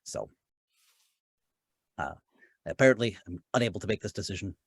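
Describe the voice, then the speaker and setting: A man's voice: low-pitched, lively intonation, normal speed, vocal fry, breathy edge, full character voice. A man voicing a timid, polite, nervous character who stammers and hesitates in a tabletop game. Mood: awkward, apologetic. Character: timid, polite, nervous man offering himself in another's place.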